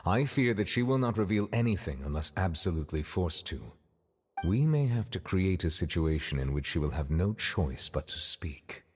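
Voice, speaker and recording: A man voicing a solemn, reserved chief judge in a video game, speaking formally and with measured words. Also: sits low, sing-song, slightly rough, big-room sound, heavily acted. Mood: grave, resolute. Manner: formal, measured, deliberate wording.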